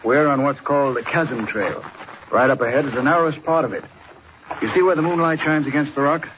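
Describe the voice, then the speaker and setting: A cautious mountain guide acted by a man gives folksy warnings in 1940s radio style. His voice is fairly high for a man. The recording is dull and noisy. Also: a little fast, natural voice. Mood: steady. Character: steady, cautious male mountain trail guide.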